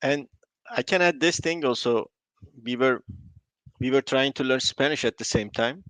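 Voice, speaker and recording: A man who is not a native English speaker, explaining points in short, halting phrases during a conversational conference talk. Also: medium pitch, fairly bright tone, dry recording, poor audio.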